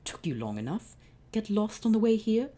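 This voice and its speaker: A woman's voice: medium pitch, big pitch swings, moderate pace, dark tone, pitched above the performer's own voice. A woman voicing a scheming, lisping wannabe-pharaoh man who plays the villain in a fan story reading. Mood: impatient. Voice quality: lisp.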